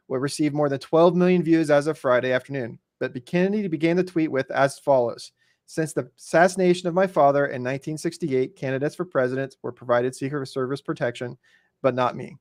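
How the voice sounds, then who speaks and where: An American man talks through the news in a methodical, explanatory way. His voice sits a little high for a man, and the sound is close and dry. He shifts between reading out articles and commenting conversationally. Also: a little fast, a little mellow, no echo. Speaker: podcast host commenting on news.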